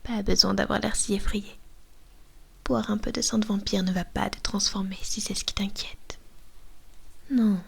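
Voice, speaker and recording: A woman's voice: mid-range voice, steady tone, moderate pace, vocal fry, pauses often, husky. A woman voicing a possessive, domineering female vampire mistress in an ASMR roleplay, mostly whispering, coaxing and commanding into a binaural microphone.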